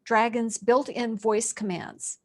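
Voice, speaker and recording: A woman's voice: on the high side, fairly even intonation, takes it slowly, slight background noise. A woman interviewer talking casually and asking questions in an informal, curious way.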